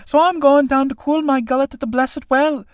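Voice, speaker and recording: A woman's voice: medium pitch, lively intonation, normal speed, dry recording, studio quality, pitched above the performer's own voice, close to an everyday voice. A woman reading a play aloud and voicing a sly, drink-loving old tinker woman with a mocking, wheedling manner.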